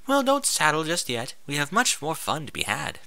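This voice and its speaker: A man's voice: a little high, huge pitch swings, normal speed, creaky, sharp tone, no echo, voice pushed up, exaggerated voice. A man voicing a gleefully sadistic pony princess, a grandly cheerful mentor with a sing-song, mock-sweet manner in a storybook reading. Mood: mock-sweet, teasing.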